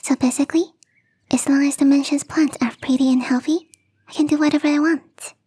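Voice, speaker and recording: A woman voicing a young catgirl gardener in an ASMR roleplay, teasing, coy and playfully smug, with a breathy, whispery voice. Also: high voice, steady tone, a little fast, dark tone, dry recording, close to an everyday voice. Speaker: voice actress.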